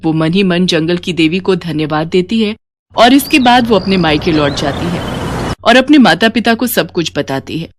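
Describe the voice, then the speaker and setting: A woman's voice: fairly low pitch, expressive, normal speed, background noise. A woman narrating a scripted moral bedtime story as a storyteller.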